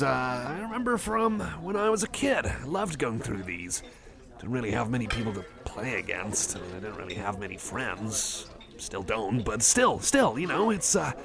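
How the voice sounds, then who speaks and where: A man's voice: mid-range voice, creaky. A man voicing a shy, nerdy, eager cyborg card collector in an ASMR roleplay, chatting casually in a hesitant, rambling, apologetic way. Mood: hesitant, hopeful. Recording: cafe crowd murmur behind the voice.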